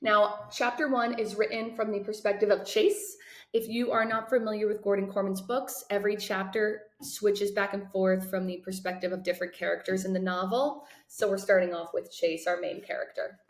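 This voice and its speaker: A woman's voice: medium pitch, little pitch movement, moderate pace. Woman reading aloud in a roomy space. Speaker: read-aloud host addressing teachers and students. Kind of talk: book introduction and expressive read-aloud narration. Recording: roomy echo.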